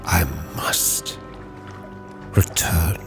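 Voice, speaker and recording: A man giving solemn, brooding, theatrical first-person narration for a roleplay story. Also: drawn-out words. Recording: prominent music behind the voice.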